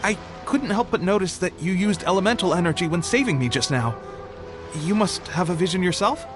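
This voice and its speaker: Video game role: eager, polite young male foot soldier, lightish high pitch, earnest and a touch hesitant, score behind. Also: lively intonation, fairly quick. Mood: earnest, wistful. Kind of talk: video game character dialogue. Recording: studio voice under game music. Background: game music.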